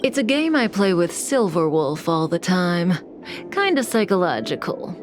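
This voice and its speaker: A woman's voice: on the low side, sing-song, unhurried, slight background noise. A woman voicing a cool, mysterious, teasing manipulator in a video game cutscene, composed and in no hurry. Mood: teasing, calm. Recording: music bed behind the voice.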